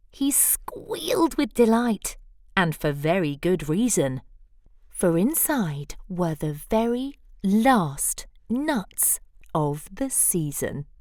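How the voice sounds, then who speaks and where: A woman storyteller reads a rhyming picture book with playful drama. Her pitch swings widely, and she takes her time over each phrase. The recording is close and dry. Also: a little mellow, no room sound. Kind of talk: expressive rhyming storybook read-aloud.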